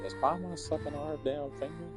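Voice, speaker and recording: A man's voice: on the high side, steady tone, fast, loud music behind the voice. A man giving casual, incredulous gameplay reaction commentary as a streamer, with casual swearing. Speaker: game streamer.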